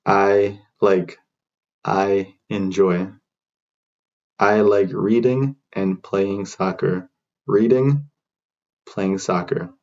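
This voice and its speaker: A man teaching beginner English, repeating short phrases slowly for learners to copy. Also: sits low, steady tone, darkish tone, highs cut off. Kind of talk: slow repeat-after-me drill.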